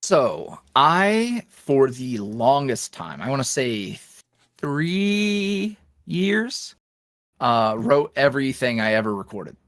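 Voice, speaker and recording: A man's voice: medium pitch, very animated, takes it slowly, fairly bright tone, dry recording, studio quality. A man talking casually as a podcast guest, rambling and self-deprecating, with lots of fillers. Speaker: podcast guest, ASMR roleplay creator. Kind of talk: casual interview chat.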